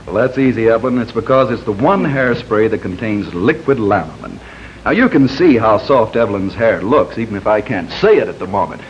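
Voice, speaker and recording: A man hosting and pitching products on live fifties television, in an old broadcast recording. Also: expressive, a little fast. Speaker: game show host and pitchman. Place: television studio. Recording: dull, faintly noisy 1950s TV broadcast.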